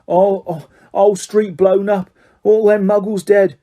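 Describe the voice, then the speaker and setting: A man's voice: sits high, little pitch movement, slow, mellow, pitched above the performer's own voice. A man voicing an eager, gossipy young male wizard bus conductor in an audiobook. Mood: excited gossip. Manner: eager, gossipy, fond of tag questions. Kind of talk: audiobook character voice.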